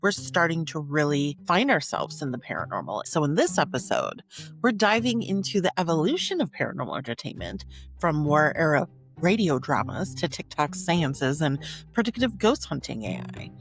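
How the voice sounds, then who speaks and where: A woman's voice: sits low, big pitch swings, moderate pace, soft background music. A woman hosting a podcast solo, narrating in a conversational, earnest and emphatic storytelling style. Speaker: podcast host.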